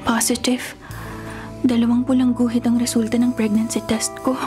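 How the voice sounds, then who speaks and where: A lovesick young Filipino heiress played by an actress in a radio drama. Her voice is fairly high and plaintive, wounded yet earnestly hopeful. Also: level tone, moderate pace, music in the background, close to an everyday voice. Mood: wistful, longing. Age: young adult.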